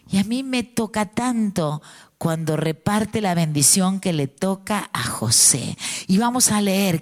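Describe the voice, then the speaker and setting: A woman in her forties from Mendoza with an Argentine accent, preaching a sermon with fervent, drawn-out emphasis. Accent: Argentine Spanish. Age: 40s. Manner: fervent, drawn-out emphatic delivery with pitch swings.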